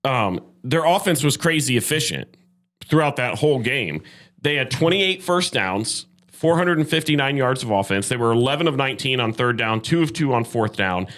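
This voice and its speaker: Male sports podcast host, mid-pitched voice, opinionated and emphatic, conversational analysis backed by numbers. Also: expressive, normal speed, a bit bright. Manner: opinionated, emphatic, cites statistics. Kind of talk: casual sports debate and analysis.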